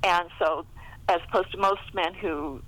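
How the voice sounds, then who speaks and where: Bright-voiced middle-aged woman, chatty interview guest. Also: fairly low pitch, fairly even intonation, measured pace, rough recording. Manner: chuckles often while talking. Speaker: guest, romance novelist. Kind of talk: casual interview chat.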